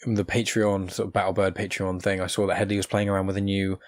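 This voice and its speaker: A man's voice: low-pitched, flat delivery, quick pace. A man hosting a podcast in a casual, chatty interview style. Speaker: podcast host.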